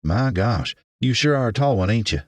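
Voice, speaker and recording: A man's voice: medium pitch, animated, moderate pace, no echo, pitched above the performer's own voice, close to an everyday voice. A man voicing a friendly young woman florist with a folksy Mississippi drawl, in an audiobook story. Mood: cheerful, welcoming. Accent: Mississippi Southern.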